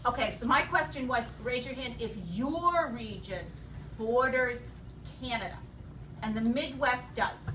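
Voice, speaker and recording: A high-pitched woman's voice carries across an echoey classroom, with clear noise around it. She teaches young students, putting questions to them and repeating their answers as she goes. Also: measured pace, sharp tone. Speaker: elementary school teacher. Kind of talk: interactive classroom read-aloud lesson. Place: indoor school classroom. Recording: roomy, distant, noisy classroom recording.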